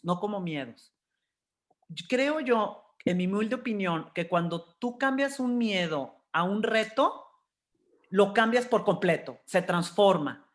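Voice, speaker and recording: A woman in her fifties with a Mexican accent, telling personal stories candidly and expressively as an interview guest. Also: mid-range voice. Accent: Mexican Spanish. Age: 50s. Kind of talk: candid personal storytelling in conversation.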